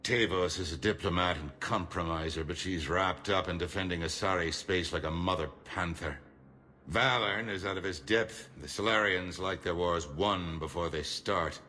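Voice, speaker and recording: Shrewd, defiant middle-aged statesman for a sci-fi game; forceful, sharp, oratorical delivery over background ambience. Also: sits low, a little hiss or hum. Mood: resolute. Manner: measured, oratorical, emphatic. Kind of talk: cinematic game dialogue.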